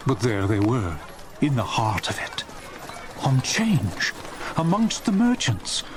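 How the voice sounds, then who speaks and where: Guy narrating a classic audiobook, medium pitch, really animated, faint street sounds behind. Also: background noise. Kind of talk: dramatic scripted audiobook narration.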